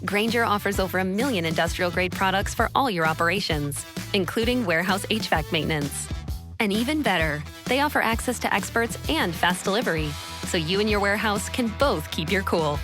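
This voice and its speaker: A woman's voice: medium pitch, animated, brisk. A woman narrating a scripted radio advertisement. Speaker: advertisement announcer. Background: music.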